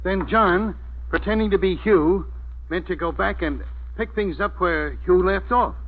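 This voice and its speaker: A folksy, slow-witted male police inspector in a 1940s radio mystery. His voice sits fairly high and he sounds hesitant and puzzled. The old broadcast is muffled. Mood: genial.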